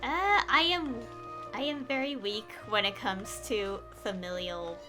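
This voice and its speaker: Casting: female streamer, casual live game commentary, halting and a bit self-conscious. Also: medium pitch, music in the background.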